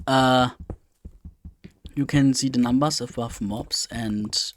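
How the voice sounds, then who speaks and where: Slow, gravelly young man. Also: mid-range voice. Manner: rambling, thinking aloud with many fillers. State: slightly strained voice. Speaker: let's play gamer. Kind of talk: casual gameplay commentary.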